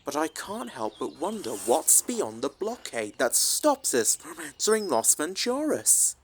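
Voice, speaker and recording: A man's voice: a little high, big pitch swings, measured pace, a little hiss or hum. A man voicing a polite, wistful little train engine in a storybook tale, with music behind him.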